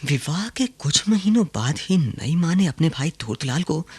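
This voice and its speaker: A man's voice: fairly high pitch, big pitch swings, normal speed, breathy edge, no echo. An actor playing a sorrowful, wronged young man who confides in earnest, formal Hindi diction in a radio play. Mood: suspicious, grave.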